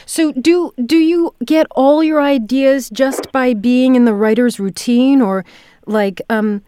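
A woman's voice: high voice, slow pace, dark tone, slight background noise. A woman hosting a casual interview podcast, speaking effusively and thoughtfully, feeling her way through her phrases. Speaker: podcast host, director.

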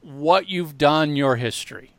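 A man's voice: a little high, a little slow, a bit bright, no room sound. A man hosting a music business podcast, upbeat and chatty as he welcomes a guest. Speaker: podcast host. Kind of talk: casual podcast chat.

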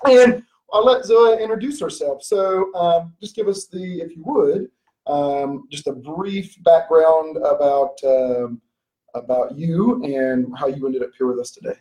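A man's voice: a little high, unhurried, mellow, low-quality recording. A man hosting a podcast interview, chatty and laughing easily, with hesitant ums. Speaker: podcast host. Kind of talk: casual interview.

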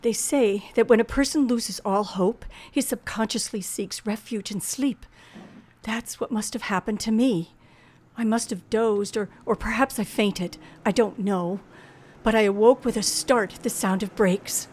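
A woman's voice: mid-range voice, unhurried, darkish tone, close to an everyday voice. An actress playing a frightened woman narrating her own ordeal in a 1940s-style radio thriller, dramatic and suspenseful. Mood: anxious. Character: frightened young woman narrator held captive by killer. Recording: old radio drama with music behind.

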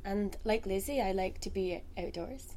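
Mellow woman dietitian. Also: mid-range voice, normal speed, slight background noise. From Scotland. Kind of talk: explanatory podcast guest.

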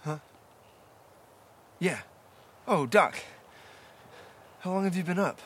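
An actor playing a practical, skeptical outdoorsman in a naturalistic audio drama, with a casual, understated, halting delivery. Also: high-pitched, lively intonation, a little hiss or hum. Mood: low-key, helpful.

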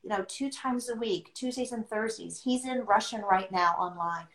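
A middle-aged woman speaking reflectively and conversationally on a livestream, earnest and deliberate, pausing as she chooses her phrases. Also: mid-range voice, fairly bright tone. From born outside the US, adopted into America. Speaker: podcast host sharing her experience as an adoptive mother. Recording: roomy, echoing sound.

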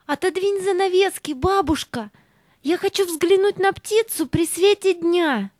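A woman's voice: very high voice, measured pace, a little mellow, no echo, clean studio sound. A woman playing an eager, tender little girl in an audio fairy-tale play, wide-eyed and emphatic. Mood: yearning, excited.

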